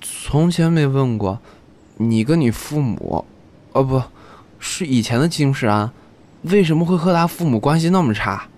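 A man playing an earnest, affectionate young man speaking softly to his partner. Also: medium pitch, lively intonation, moderate pace, slight background noise, lightly acted. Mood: tender. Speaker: lead character. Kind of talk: audio drama acting. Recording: music playing under the voice.

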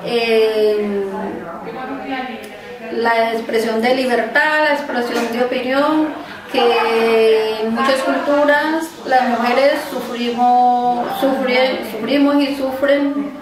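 A woman from Colombia, a workshop participant, giving a short spoken testimony slowly and earnestly, searching for her words. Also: fairly high pitch, fairly even intonation, crowd noise. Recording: echoey room, mic far off.

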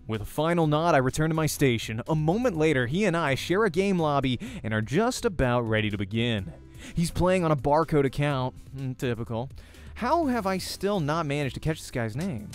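A young man with a slightly high voice narrates a visual novel for a let's play. He reads in the first person and tells it dramatically, like a story. Faint music runs underneath.

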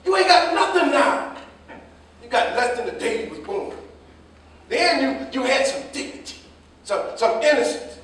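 A man's voice: extremely high pitch, very animated, moderate pace, pauses often, fairly bright tone, exaggerated voice. An American man acting a stern, proud older father, scolding and bitterly disappointed, in a staged play reading. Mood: proud, angry. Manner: scolding, reproachful. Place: indoor staged reading, recorded from afar. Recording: echoey stage, distant microphone.

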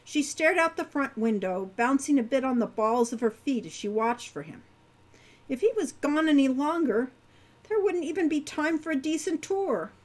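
An older woman, the book's author, narrating her novel in a gentle, expressive storytelling manner at home. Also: high-pitched, normal speed. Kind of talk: audiobook narration.

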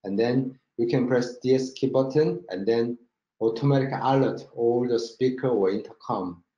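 Mellow Korean-accented man in a roomy space. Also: mid-range voice. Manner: halting non-native English with frequent check-in words. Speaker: company marketing director presenting a webinar. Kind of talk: product presentation over slides. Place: indoor room, online webinar with screen share. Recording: roomy, dull webinar audio.

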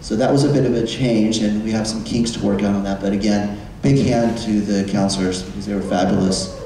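A male school administrator talking casually to staff, with easy fillers and a relaxed pace. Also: lowish voice, fairly quick, noisy background. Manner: relaxed, conversational, frequent fillers. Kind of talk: staff meeting presentation. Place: large auditorium. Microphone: distant room microphone. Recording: echoey hall, recorded from far off.

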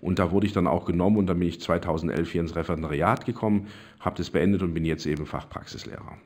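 Relaxed man rambling through his life story. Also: low voice, fast. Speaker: vocational cooking teacher.

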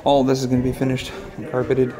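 A man's voice: mid-range voice, monotone, fast talker, a crowd in the background. A man walking through a house with a phone camera, casually pointing out the rooms as he goes. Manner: matter-of-fact, pointing out rooms as he walks. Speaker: house tour guide. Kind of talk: casual walkthrough narration. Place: large unfinished house, indoors. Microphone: handheld phone camera.

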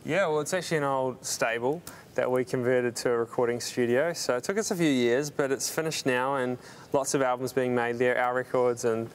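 A man's voice: medium pitch, steady tone, a little fast, a little hiss or hum. A man in his thirties with a New Zealand accent, a band member chatting casually as a guest in a TV news studio, rambling and laughing easily. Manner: easygoing, rambling, laughs often. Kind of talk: casual TV interview chat.

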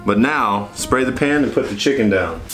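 A man's voice: mid-range voice, lively intonation, normal speed, a bit bright, faint music behind. A young man chatting casually through a cooking how-to, rambling and correcting himself as he films with a handheld camera in a small home kitchen. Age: young adult. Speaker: vlogger. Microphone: handheld camera at arm's length.

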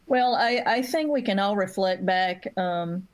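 A middle-aged woman speaking earnestly on a video-call panel, measured with some fillers. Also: medium pitch. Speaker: industry panelist. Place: home room.

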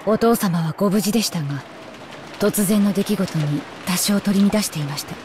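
A woman's voice: medium pitch, normal speed, natural voice. A woman playing a stern, coldly dignified mother in an anime visual novel, speaking in a formal, authoritative way. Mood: cold, composed. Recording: clear rain noise behind.

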